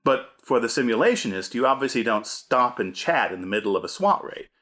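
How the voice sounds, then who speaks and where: A man's voice: mid-range voice, lively intonation, brisk, a bit bright. A man hosting a scripted tabletop gamemastering lesson, speaking in an emphatic, theatrical, punchy way. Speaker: host, game master giving advice.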